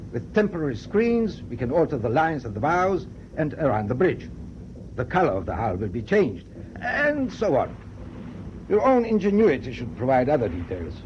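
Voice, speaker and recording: An actor playing a stern, proud German naval captain in a radio drama, speaking in a clipped, formal, authoritative way. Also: male voice, fairly high pitch, darkish tone. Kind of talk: BBC radio drama acting. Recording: dull, noisy old radio broadcast tape.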